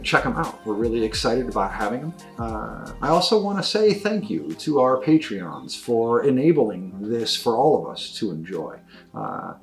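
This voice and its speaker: A middle-aged man giving a casual, enthusiastic and discursive review of new tabletop games as a store presenter. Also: mid-range voice. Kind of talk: casual product review talk to camera.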